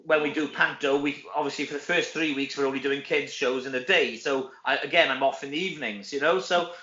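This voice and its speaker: Male, middle-aged, Welsh. Chatty, informal interview guest with a forward, bright tone; roomy, lo-fi sound. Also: mid-range voice, brisk. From Wales. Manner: chatty, informal, frequent tag questions. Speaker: guest, actor. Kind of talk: casual interview chat.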